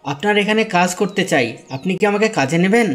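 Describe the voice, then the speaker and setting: A man's voice: sits high, expressive, quick pace, faint music behind. A man playing a poor, devout young husband in an Islamic cartoon, speaking in an earnest, pleading way. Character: poor, devout, hard-pressed young husband seeking work. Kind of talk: Islamic moral-tale cartoon dubbing.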